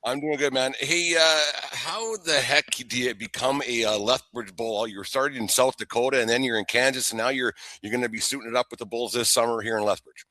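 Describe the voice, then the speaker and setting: A man, probably middle-aged, hosting a casual local baseball interview in a folksy, halting, jokey way. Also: mid-range voice, fairly even intonation, sharp tone, no echo. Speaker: host of a local baseball show.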